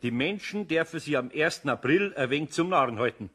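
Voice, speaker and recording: An actor playing a good-natured, grumbling older craftsman in a German radio play, homely and unhurried, with a husky voice and a Bavarian accent. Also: a little high, animated, a bit bright. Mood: rueful.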